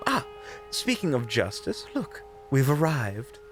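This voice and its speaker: A man playing a witty, unflappable investigator in an audio roleplay, with a coy, theatrical, mockingly polite and drawn-out delivery. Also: medium pitch, wildly swooping pitch, voice pushed up. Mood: playful, chatty. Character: flamboyant, unflappable, witty investigator in their prime. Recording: background music.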